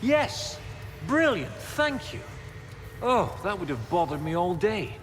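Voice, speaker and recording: An actor playing an absent-minded, excitable male professor of history in a video game, halting and muttering as he thinks aloud. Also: sits high, faint music behind, heavily acted. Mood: delighted. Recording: reverberant game hall, noisy ambience.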